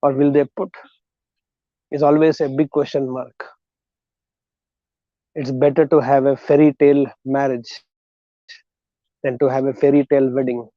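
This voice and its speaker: A man with an Indian accent giving a motivational talk in a deliberate, emphatic way, in short phrases with rhetorical questions. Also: mid-range voice, level tone, no echo, highs cut off. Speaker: motivational speaker and counselor.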